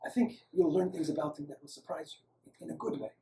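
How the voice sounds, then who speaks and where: A man voicing a gentle, courteous older male doctor with an Eastern European accent in a story, speaking hesitantly. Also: fairly high pitch, a little fast, close to an everyday voice. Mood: reassuring. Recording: roomy, echoing, distant microphone.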